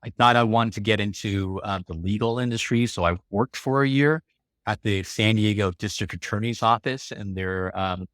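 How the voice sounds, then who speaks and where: Low-voiced American man, dry podcast sound. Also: normal speed, a bit bright, no room sound. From California. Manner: rambling, many fillers and laughs. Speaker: podcast guest. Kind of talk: casual interview storytelling.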